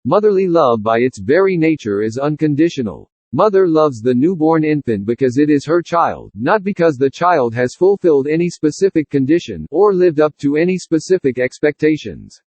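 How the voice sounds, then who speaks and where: A man's voice: fairly high pitch, big pitch swings, normal speed, few pauses, darkish tone, no echo. A man narrating a scripted practice passage aloud, in slightly lo-fi audio.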